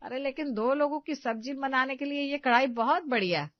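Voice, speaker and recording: A woman's voice: high-pitched, moderate pace, no echo, lo-fi sound, voice pushed up, natural voice. A woman voicing a bossy, middle-aged village mother-in-law who scolds and gives instructions. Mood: scolding.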